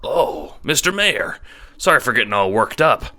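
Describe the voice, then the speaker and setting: A man performs a disgraced lawman turned lone wolf for an audio drama. He is touchy and blustering, with a bright, forceful edge to his blunt speech. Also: medium pitch, very animated, moderate pace, voice pushed up. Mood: respectful. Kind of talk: audio drama character acting.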